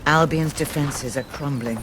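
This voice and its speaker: An actor playing a wary, formal male companion who speaks in grave, measured warnings. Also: fairly high pitch, background noise, lightly acted. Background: game score music.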